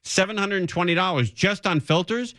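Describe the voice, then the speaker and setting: A man's voice: high voice, moderate pace, bright, forceful tone, no echo. Dry, close-sounding male talk radio host. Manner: conversational, opinionated, repeats phrases for emphasis. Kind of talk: off-the-cuff radio commentary. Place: radio studio.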